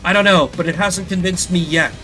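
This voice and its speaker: Male streamer voices a stubborn young woman pilot, pitched high, over video game music. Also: fairly even intonation, brisk, sharp tone. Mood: defiant. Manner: stubborn, defiant.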